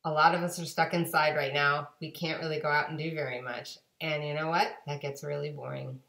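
A woman in her forties reading a storybook aloud to children with expressive, deliberate emphasis. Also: sits low, vocal fry. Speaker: guest storybook reader. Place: home kitchen-dining room. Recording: echoey home room, webcam microphone.

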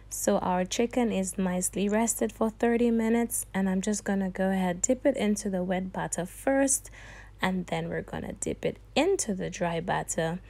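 A woman's voice: lowish voice, vocal fry, a little mellow. Sassy, chatty woman walking through a recipe. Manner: chatty and sassy, calls viewers darling and honey. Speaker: cooking channel host. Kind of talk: cooking tutorial voice-over.

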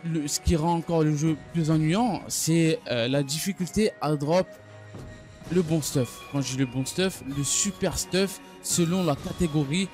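A man giving an unscripted gaming news recap, speaking haltingly and often stumbling or repeating his phrases. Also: a little high, a little mellow. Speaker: gaming video creator. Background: background music.

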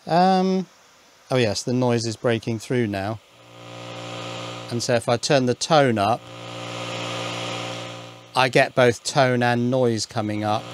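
Male electronics hobbyist, medium pitch, casual and musing, thinks aloud with muttered self-questioning asides. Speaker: electronics hobbyist video maker. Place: indoors at a workbench.

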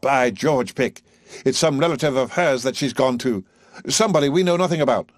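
An irascible professor who explodes at every turn, as a man puts him on. His voice soars high and lurches up and down in furious, blustering bursts, close and dry. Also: animated, fairly quick, dry recording. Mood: agitated. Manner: explosive, indignant outbursts. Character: blustering male professor, irascible, self-pitying.

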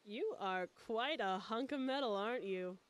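A woman's voice: a little high, lively intonation, normal speed, slight background noise, lightly acted. A woman voicing a flirtatious adult female bat with a seductive drawl in a comedic fan dub. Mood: flirting. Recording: loud music under the voice.

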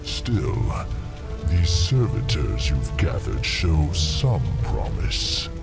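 A man voicing a huge, arrogant demon lord in a video game, gravelly, haughty and menacing. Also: very deep voice, big pitch swings, very slow, over loud music, exaggerated voice. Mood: cold, threatening. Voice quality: gravelly, monstrous. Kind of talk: dramatic video game villain acting.

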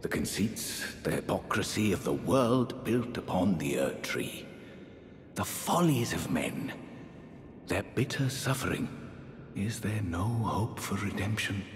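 Fantasy game casting: eerie, genteel high priest, older, unhurried and breathy, grandiloquent, set in echoing reverb with faint rumble. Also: male voice, animated, soft background music. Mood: sorrowful, bitter. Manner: theatrical, archaic, drawn-out phrasing. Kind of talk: dramatic fantasy game character dialogue. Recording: echoey hall reverb, faint rumbling noise.

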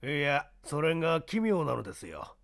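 A man playing a shrewd, self-assured baseball scout in a mystery story reading, explaining himself in a measured, worldly way. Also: on the high side, sing-song, gravelly, fairly bright tone, full character voice. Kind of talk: radio-drama style mystery reading.

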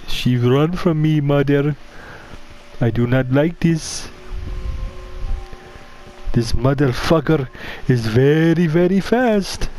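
A man acts out a bumbling masked killer in a horror game, foul-mouthed and devoted to his mother. The voice sits a little high and moves slowly through stilted broken English with dropped articles. There is faint but noticeable noise behind it. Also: darkish tone, rough recording. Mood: grumbling, narrating. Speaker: gamer playing a horror game in character. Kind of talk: in-character gameplay commentary.

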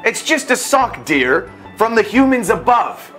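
A man voicing a gentle, reassuring monster mother from a children's storybook. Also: sits high, sing-song, a little slow, soft background music, pitched above the performer's own voice, full character voice. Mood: soothing. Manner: gentle yet firm, soothing.

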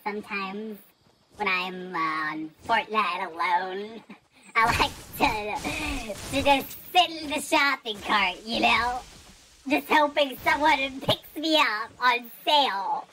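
A man voicing a childish, excitable, melodramatic gamer through a high-pitched voice changer, whining and gloating theatrically in trolling banter. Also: slow pace, full character voice. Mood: playful, whiny. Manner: theatrical, whiny, gleeful. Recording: noisy gaming voice-changer audio with clicks.

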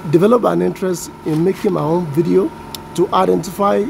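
A man with a Nigerian accent, a native Yoruba speaker, casually showing backyard weeds outdoors, unhurried and sounding out plant names with a self-deprecating tone. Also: high voice, fairly even intonation, gravelly. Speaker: vlogger. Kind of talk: casual walk-through narration. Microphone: handheld phone camera, off-screen. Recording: generator noise behind phone audio. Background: generator running.

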